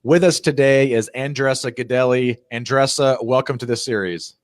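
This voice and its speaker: A man's voice: medium pitch, normal speed, no room sound, clean studio sound. A man hosting an online interview series, chatty and loose, leaning on "you know" fillers. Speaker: host. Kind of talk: casual interview.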